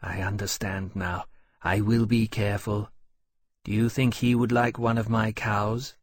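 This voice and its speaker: A man's voice: fairly low pitch, flat delivery, moderate pace, no room sound, close to an everyday voice. Guy doing a curious well-spoken young dragon for a storybook, earnest n polite, dry close sound.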